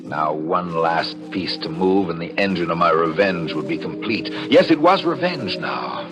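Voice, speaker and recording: A man's voice: sits low, animated, a little slow. An actor playing a bitter, scheming husband who narrates his revenge in brooding, dramatic 1940s radio thriller style. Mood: brooding, vengeful. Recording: old radio recording with music behind.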